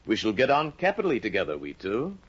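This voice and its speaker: An actor playing Judge Brock, a smug, scheming older judge with a smooth, insinuating way of speaking, in a 1950 radio drama. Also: male voice, on the low side, huge pitch swings, fast, heavily acted. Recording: dull lo-fi 1950 radio broadcast.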